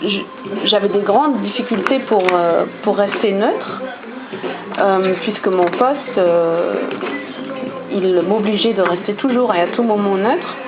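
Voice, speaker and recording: A woman's voice: mid-range voice, unhurried, phone-quality sound, over music. Hesitant woman. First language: German. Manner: hesitant, many fillers and restarts. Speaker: vlogger. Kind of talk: casual storytelling to camera.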